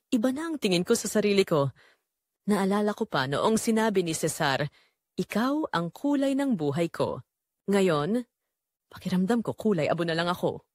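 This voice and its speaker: Actress as sorrowful, long-suffering Filipina mum narrating a romance audio drama; confiding, very expressive melody, dry studio sound. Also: female voice, mid-range voice, dry recording. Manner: wistful, emotive, addressing listeners directly. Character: weary, neglected middle-aged Filipina mother, quietly sorrowful. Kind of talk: first-person dramatic confessional storytelling.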